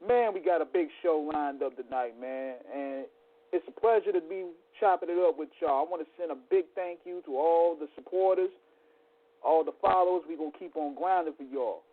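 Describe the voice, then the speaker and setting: Hype slangy host on tinny audio. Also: male voice, a little high, moderate pace. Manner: hype, slangy, shout-outs. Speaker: podcast host. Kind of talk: casual radio show hosting.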